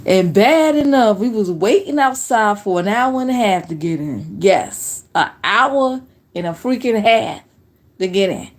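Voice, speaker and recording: A woman in her late forties chatting casually on a live stream, telling stories in a relaxed, slangy way. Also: medium pitch, unhurried. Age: 40s. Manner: relaxed storytelling with slang and laughs.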